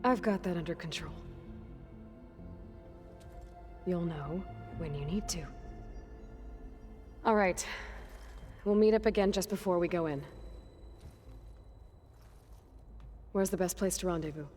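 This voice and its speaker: A woman's voice: lowish voice, normal speed, a little mellow, long reverb tail, faint music behind, close to an everyday voice. A woman playing a determined young warrior leader in a game cutscene, earnest and commanding. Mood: resolute. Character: determined young female warrior leading a rescue mission. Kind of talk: video game cutscene acting.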